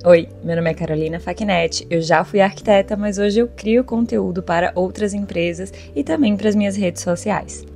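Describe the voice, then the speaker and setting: A woman's voice: medium pitch, moderate pace, gravelly, few pauses, dark tone, faint music behind. A woman, narrating her day in a chatty vlog voice-over that speaks straight to viewers. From Salvador, Brazil. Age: 30s. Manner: chatty, addresses viewers directly. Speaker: content creator.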